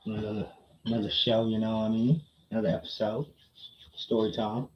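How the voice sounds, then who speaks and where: A man's voice: fairly low pitch, level tone, fairly quick, a little hiss or hum. A man livestreaming a casual personal story to his chat, slangy, repeating phrases for emphasis and often checking in with listeners. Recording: muffled, roomy echo.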